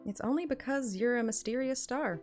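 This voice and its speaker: A woman's voice: fairly high pitch, fairly quick, darkish tone, voice pushed up, lightly acted. Woman reading as playful young heroine over music. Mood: fond, determined. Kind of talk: dramatic reading of game text. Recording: music behind the voice.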